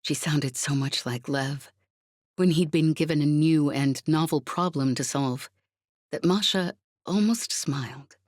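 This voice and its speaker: Female audiobook narrator, low-pitched, slow, soft husky edge, scripted fiction. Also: no echo, clean studio sound.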